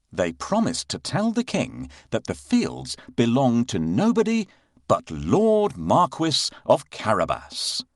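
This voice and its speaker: A man narrating a scripted children's bedtime fairy tale with expressive, dramatic delivery. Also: mid-range voice, dry recording, clean studio sound. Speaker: storyteller narrator.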